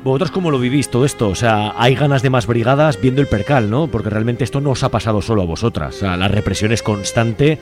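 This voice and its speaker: A man's voice: mid-range voice, fast talker, few pauses, over music. A man hosting a radio interview, asking long conversational questions. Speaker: radio host.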